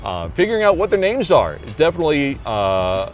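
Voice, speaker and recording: An American man in his thirties with a booming announcer voice, answering interview questions casually as a public address announcer. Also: huge pitch swings, brisk, music in the background.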